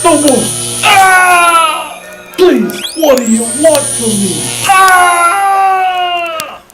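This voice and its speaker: A man's voice: extremely high pitch, animated, very slow, loud music behind the voice, full character voice. An actor playing a terrified, tortured man who screams and begs desperately in an old-time radio drama.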